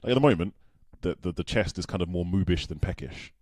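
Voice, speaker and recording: A man on a video call in casual chat with a friend, joking and rambling with quick restarts. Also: deep voice, a little mellow, no echo, clean studio sound. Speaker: podcast co-host.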